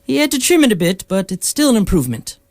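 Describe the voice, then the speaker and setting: A man's voice: high-pitched, wildly swooping pitch, fast, no echo, studio quality, heavily acted. A man playing a breezy, matter-of-fact alien space traveler in a radio play.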